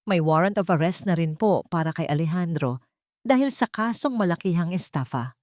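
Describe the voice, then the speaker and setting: A woman as a soft-spoken, sorrowful family lawyer. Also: lowish voice, lively intonation, normal speed, no room sound, studio quality, close to an everyday voice. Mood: sorrowful, resigned. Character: lawyer bringing grave news, deferential and sorrowful.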